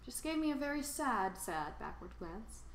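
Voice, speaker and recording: A woman reading a children's storybook aloud in an expressive, theatrical and emphatic storytelling manner. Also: on the high side, normal speed, slight background noise. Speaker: storybook reader. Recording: roomy, echoing sound.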